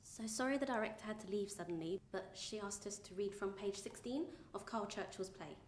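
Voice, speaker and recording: A woman's voice: medium pitch, brisk, echoey room, a little hiss or hum, lightly acted. A woman playing a flustered stand-in leading a rehearsal, who turns defensive. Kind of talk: short film acting.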